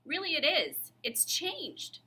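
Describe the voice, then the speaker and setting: A woman's voice: high voice, very animated, takes it slowly, bright, forceful tone, slight background noise, voice pushed up, exaggerated voice. A woman voicing an earnest young schoolboy who explains himself insistently in a children's storybook. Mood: insistent, pleading.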